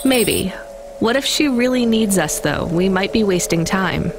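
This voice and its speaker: A woman's voice: medium pitch, animated, unhurried, noisy background. A woman playing a weary, guarded but caring young female delivery driver in a video game, with a dry, informal manner. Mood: worried.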